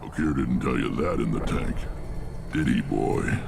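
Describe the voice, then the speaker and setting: An actor playing a gruff, battle-worn alien clan leader in a video game, blunt and commanding, with a rumbling, gravelly voice. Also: male voice, unhurried, heavy background noise, exaggerated voice. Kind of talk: video game character acting.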